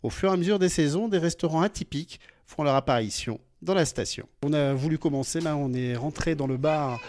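A man reporting on location, asking questions with many fillers and a lively, loud delivery. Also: fairly high pitch, fairly quick, no room sound. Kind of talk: field news report with interviews. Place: indoors, in a restaurant and a chocolate shop.

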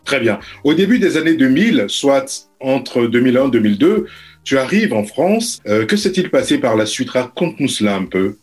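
A man with a husky, slightly rough voice hosts a radio interview. He asks his questions in a formal, measured way, with soft music playing faintly behind him. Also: medium pitch, big pitch swings. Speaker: radio host.

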